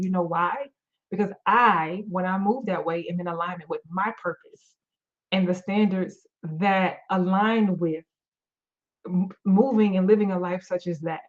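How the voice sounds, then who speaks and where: A tired woman life coach whose voice is going, talking in a mid-range pitch. She speaks candidly and loosely, with fillers and restarts, like a live chat with viewers. The audio is a little dull and muffled. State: losing her voice, tired. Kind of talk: live-stream motivational talk.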